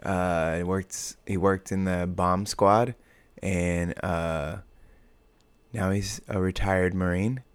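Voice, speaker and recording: A man's voice: deep voice, steady tone, slow pace, clean tone, dry recording. An American man talking casually as a podcast guest, with informal, laid-back phrasing. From United States. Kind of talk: casual conversational interview.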